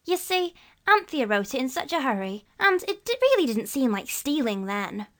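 An earnest young boy from a storybook, put on by a woman reader, speaking in a raised, lively voice that jumps up and down. He pleads earnestly and tries hard to sound brave. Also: sits high, normal speed, pitched above the performer's own voice. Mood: sheepish.

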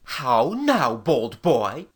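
A haughty, noble knight of old, performed by a woman storyteller. The voice sits very deep and husky, delivering stately, pompous archaic lines with grand rises and falls. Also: sing-song, a little slow, full character voice. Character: haughty, gallant medieval knight captain, male, gravely noble. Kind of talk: storybook character dialogue.

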